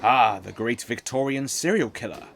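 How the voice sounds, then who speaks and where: Animated, friendly male teacher played by a man. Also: medium pitch, moderate pace, vocal fry, a little hiss or hum. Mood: encouraging. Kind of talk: amateur audio drama acting.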